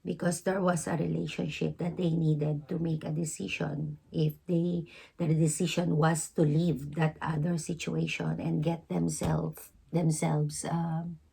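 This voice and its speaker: A woman giving an intuitive tarot reading, hoarse because she is losing her voice, speaking slowly with many halting pauses. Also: sits low, little pitch movement, dark tone, low-quality recording. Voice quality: hoarse, gravelly. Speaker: tarot reader.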